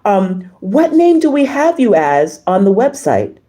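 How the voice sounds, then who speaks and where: A slightly hoarse woman hosting a casual livestream, reading and answering viewer comments in a halting, effusive way. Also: mid-range voice, sing-song, dark tone. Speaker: livestream host.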